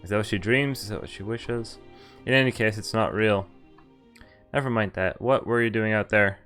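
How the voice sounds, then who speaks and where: A man's voice: on the low side, normal speed, fairly bright tone. A man streaming a visual novel, reading it aloud casually with asides to chat and often stumbling and correcting himself. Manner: stumbles over read lines and corrects himself. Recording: music playing behind the voice. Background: background music from the game.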